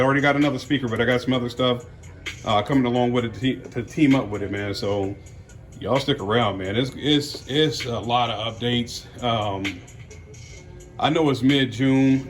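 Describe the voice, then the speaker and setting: An American man giving casual unboxing commentary as a car audio vlogger, talking in a folksy, slangy way. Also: mid-range voice.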